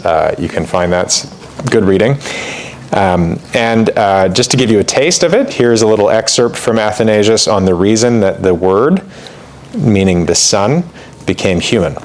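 Low-voiced man giving a casual lecture. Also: normal speed, slight background noise. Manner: conversational, with frequent fillers and asides; reads quotations aloud with more emphasis. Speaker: church history teacher. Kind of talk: informal lecture.

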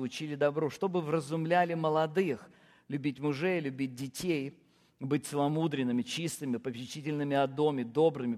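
A man's voice: fairly high pitch, darkish tone, big-room sound. A man, a pastor, preaching a sermon and counting off his points with firm, rising emphasis.

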